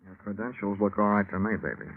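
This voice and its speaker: An American actor playing a cocky, wisecracking insurance investigator in a vintage radio drama, with wry, slangy, hard-boiled delivery. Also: male voice, fairly low pitch, fairly even intonation, fast talker, phone-quality sound, a little hiss or hum, natural voice. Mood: wry, teasing. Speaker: lead actor and narrator of radio serial. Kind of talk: 1950s radio detective drama acting. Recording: vintage radio broadcast, band-limited, music behind.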